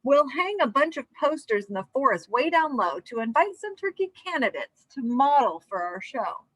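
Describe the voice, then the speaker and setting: A woman reading the part of a cheerful, scheming townsman in a children's storybook, declaring his plans brightly and persuasively. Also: high voice, very animated, moderate pace, dry recording, heavily acted, poor audio. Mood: eager, scheming. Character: scheming, cheerful townsman, eager to trap a turkey.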